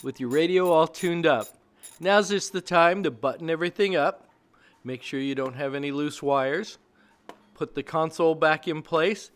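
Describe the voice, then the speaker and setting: A man's voice: a little high, moderate pace. A man giving scripted how-to narration as the narrator of a DIY video, explaining plainly step by step.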